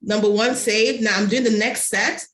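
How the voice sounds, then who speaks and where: A woman's voice: medium pitch, steady tone, moderate pace, fairly bright tone. A woman on a video call, chatty and bubbly, directing everyone through group photos. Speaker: webinar co-organizer taking group photos. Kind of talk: casual video-call chat. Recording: roomy video-call audio.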